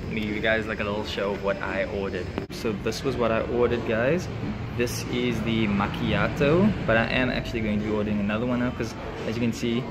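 A young man chatting excitedly to his phone camera in a cafe, with lots of fillers like "like" and "guys". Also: lowish voice, fairly quick, heavy background noise. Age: young adult. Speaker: vlogger reviewing a cafe. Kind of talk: casual vlog. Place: indoor cafe with classic cars. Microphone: phone camera at arm's length, no lapel mic. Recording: phone audio with loud background music. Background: loud music playing in the cafe.

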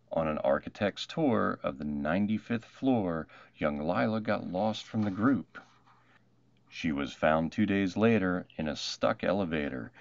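A man narrating a rhyming children's storybook in an expressive, measured way. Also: lowish voice, no echo, lo-fi sound. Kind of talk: rhyming picture book read-aloud.

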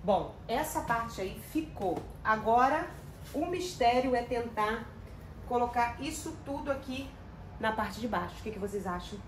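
A woman's voice: on the high side, lively intonation, unhurried, a little hiss or hum, low-quality recording. Chatty woman tidying her kitchen. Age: middle-aged. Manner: chatty, addresses viewers as "gente", uses many diminutives. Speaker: home organizing vlogger. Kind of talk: casual chatty narration while tidying. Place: home kitchen.

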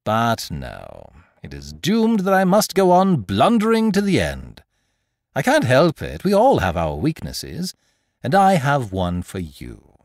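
Storybook gentleman thief, acted by a male reader: exuberant, mocking, boastful, hugely animated pitch, dry close sound. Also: measured pace, no echo. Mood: boastful, indulgent. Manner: mocking, theatrical, peppered with rhetorical questions. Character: gentleman thief, teasing, exuberant, boastful, playfully condescending to youngster. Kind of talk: dramatised audiobook character dialogue.

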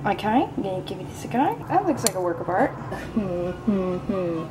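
A woman's voice: mid-range voice, very animated, moderate pace, over music. A woman in her twenties, goofing her way through a silly home cooking show in a small kitchen, filmed on a handheld camera. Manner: playful, silly, self-mocking. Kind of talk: goofy mock cooking show. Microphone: handheld camera at arm's length. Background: sixties French pop playing.

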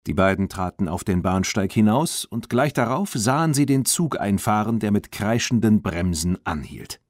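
A man narrating a children's story as a scripted audiobook, in an expressive storytelling manner. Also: on the low side, normal speed, dry recording, clean studio sound.

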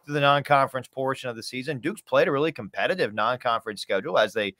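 Male sports guest, thirties, conversational and emphatic, medium pitch, dry video-call sound. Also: fast, a bit bright, no room sound. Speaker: sports play-by-play announcer, podcast guest. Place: small home room, video call.

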